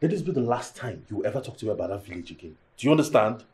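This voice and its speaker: A male actor plays an aggrieved Nigerian husband in a naturalistic film drama. His medium-pitched voice moves up and down dramatically. He is indignant and entitled, hammering his points with repeated rhetorical questions. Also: animated, racing, a little mellow, natural voice. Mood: angry, scornful. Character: aggrieved, hot-tempered, entitled husband bound to tradition.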